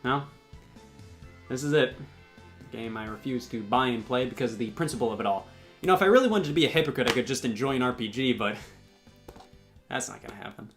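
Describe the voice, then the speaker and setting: A man's voice: mid-range voice, lively intonation, fast talker, long pauses, over music. A man delivering scripted comedic sketch commentary in an exasperated, sarcastic way. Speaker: comedy video host.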